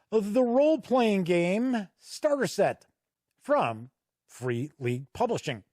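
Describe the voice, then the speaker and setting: A man giving a casual product walkthrough as a reviewer, conversational and halting with frequent pauses. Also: high voice, no echo, clean studio sound. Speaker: tabletop game reviewer and host.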